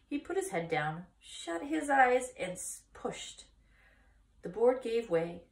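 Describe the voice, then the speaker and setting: A woman in her thirties reading a children's story aloud in a deliberate, expressive manner. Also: on the high side, vocal fry, echoey room. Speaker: story reader. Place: small indoor room against a bare wall.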